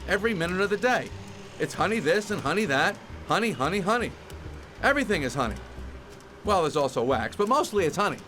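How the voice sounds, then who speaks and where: An actor playing a wry, restless young bee hero in a cartoon game, sardonic and questioning. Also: male voice, sits high, sing-song, a little fast, fairly bright tone, a little hiss or hum. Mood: wry, frustrated. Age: young adult.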